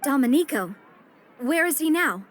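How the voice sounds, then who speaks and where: An actress playing a confident, breezy young woman who leads others in a video game, brisk and informal. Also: high-pitched, lively intonation, a little hiss or hum. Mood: frank, businesslike. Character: confident, breezy, resourceful young female leader. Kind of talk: video game character acting.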